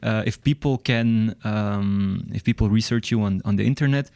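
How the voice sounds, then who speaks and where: A man's voice: fairly low pitch, moderate pace, vocal fry, a little mellow, clean tone, no echo, clean studio sound. A man hosting an interview, asking questions in a casual way with many fillers. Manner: filler-heavy, many ums. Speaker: interviewer host.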